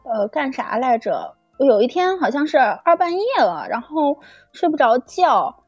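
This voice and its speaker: A woman chatting casually and excitedly as a guest producer, with effusive, fangirlish exclamations. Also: sits high, measured pace, dry recording. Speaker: audio drama producer and guest. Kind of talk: animated casual chat. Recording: dull lo-fi audio with music behind.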